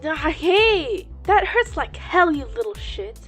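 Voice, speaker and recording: Woman as Kaname, high-voiced brash teasing girl. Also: slow, faint music behind, full character voice. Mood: annoyed. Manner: playful, brash, expressive. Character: Kaname, teasing, foul-mouthed older schoolgirl teammate. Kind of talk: audiobook character acting.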